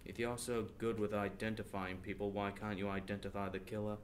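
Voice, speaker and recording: A man's voice: lowish voice, flat delivery, a little fast, a little hiss or hum, lightly acted. A man playing an earnest, exasperated investigator, a detective's indignant companion, in an audio drama. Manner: indignant, questioning.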